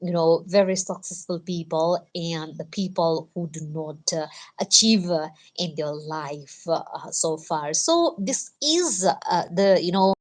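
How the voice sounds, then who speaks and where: A woman who is not a native English speaker gives a solo motivational talk on a livestream, halting with many fillers and lifting her pitch at each new sentence. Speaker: livestream host.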